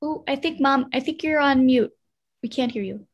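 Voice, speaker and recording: A woman's voice: high-pitched, moderate pace, highs cut off. A young woman, an actress, casually introducing herself on a video call. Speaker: actress and writer.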